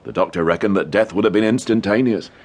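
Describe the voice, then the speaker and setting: An actor playing a chatty, deferential village police sergeant in a radio detective drama. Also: male voice, fairly low pitch, fast talker, breathy edge. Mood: helpful, amused. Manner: chatty, deferential, full of sirs.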